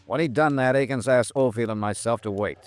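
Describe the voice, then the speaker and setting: A man's voice: mid-range voice, a little fast, close to an everyday voice. An American man acting a hard-boiled, stubborn insurance investigator and narrator in an old-time radio detective drama, clipped and wry. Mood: brisk, businesslike. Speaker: lead actor and narrator. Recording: vintage radio recording with music.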